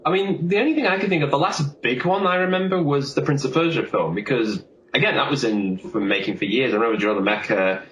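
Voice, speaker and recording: A man's voice: medium pitch, fast talker, few pauses, fairly bright tone, highs cut off. Man chatting in an echoey room. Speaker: podcast co-host. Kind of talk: casual film discussion. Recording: roomy, echoey sound with faint noise.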